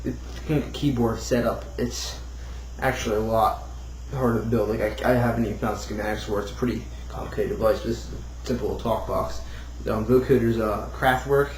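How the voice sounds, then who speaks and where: Low-voiced man rambling in an echoey room. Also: fairly even intonation, fairly quick. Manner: rambling, thinking aloud. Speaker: hobbyist demonstrating a homemade build. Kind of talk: casual unscripted demo talk. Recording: roomy echo, clear background noise.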